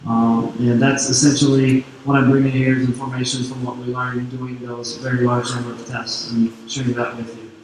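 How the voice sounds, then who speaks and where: Brief: French-Canadian male conference speaker, laid-back explaining, distant mic, booming hall. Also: moderate pace, few pauses. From Quebec, Canada. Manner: relaxed, filler-heavy explaining. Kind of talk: technical conference talk. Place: large conference hall on stage. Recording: echoey hall, distant mic, faint noise.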